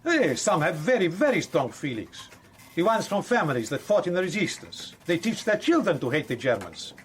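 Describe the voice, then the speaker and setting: An Italian police officer in a radio drama. He is earnest and deliberate, with accented, slightly stilted English and a higher, swooping voice. Faint ambient noise sits behind him. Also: very animated. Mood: earnest, explanatory. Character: earnest, matter-of-fact Italian policeman explaining a murder case. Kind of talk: naturalistic radio drama acting.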